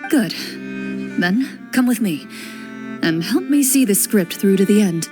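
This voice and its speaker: A woman voicing a composed, stern young female duelist in a game cutscene, speaking in a calm, measured, quietly commanding way. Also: medium pitch, soft background music, natural voice. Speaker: game character, a duelist hunter. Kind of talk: video game cutscene voice acting.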